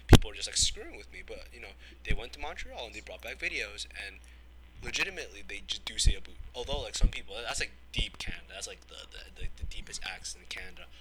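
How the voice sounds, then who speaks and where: A man's voice: medium pitch, background noise. A young man hosting a podcast, chatting casually with lots of fillers and jokes. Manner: rambling, many fillers, joking. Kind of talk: casual chat.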